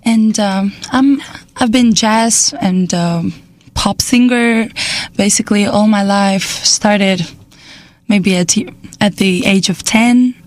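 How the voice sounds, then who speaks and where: A young woman from Estonia speaking English with an Estonian accent, a jazz singer talking as a radio guest, pausing often to search for words and laughing often. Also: mid-range voice, no echo. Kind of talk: casual radio interview.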